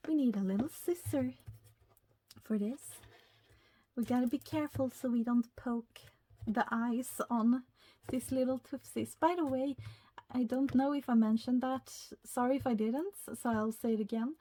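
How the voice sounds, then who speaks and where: A woman from Sweden with a Swedish accent, speaking English as a second language, chatting excitedly as she unboxes a retro game and reads the packaging aloud. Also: on the high side, gravelly. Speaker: YouTuber. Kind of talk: casual unboxing chat.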